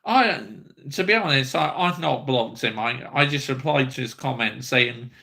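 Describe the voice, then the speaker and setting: Bright, gravelly man ranting. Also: mid-range voice, normal speed. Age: 30s. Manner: angry, blunt ranting, sweary. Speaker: football fan channel host. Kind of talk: livestream fan rant.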